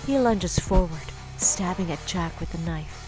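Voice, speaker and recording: Female narrator, lower pitch, dramatic scripted storytelling, prominent music underneath. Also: measured pace, rough recording.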